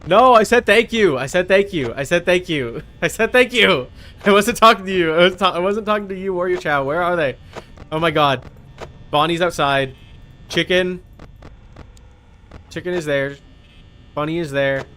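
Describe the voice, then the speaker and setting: A man's voice: high voice, normal speed, pauses often, sharp tone, slight background noise. A man chatting casually as he streams a game, with a blunt, sweary, argumentative way of talking. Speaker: livestream host. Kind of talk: casual gaming stream chat while playing a horror game.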